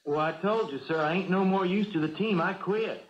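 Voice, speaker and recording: Young country ballplayer acted by a man, drawl, rather high voice, hesitant, respectful, muffled old radio play. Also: fairly quick, noisy background, natural voice. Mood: dejected. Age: young adult. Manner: deferential, hesitant. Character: humble, honest young country ballplayer, earnest and unsure. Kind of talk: 1970s radio drama acting.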